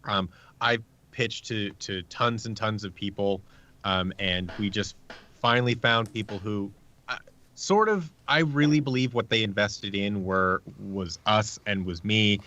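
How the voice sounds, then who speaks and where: A man's voice: fairly low pitch, measured pace, sharp tone, lo-fi sound. A man talking casually as an interview guest, explaining at length and acting out bits of conversation. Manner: explanatory, acts out short quoted exchanges. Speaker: guest, audio drama creator.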